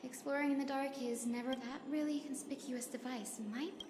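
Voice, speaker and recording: Woman doing an earnest young sky priestess for a game, high n gentle, big echoey reverb. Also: fairly even intonation, moderate pace, background noise, natural voice. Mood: thoughtful. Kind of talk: dramatic video game voice acting. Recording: echoey long reverb, loud game music behind.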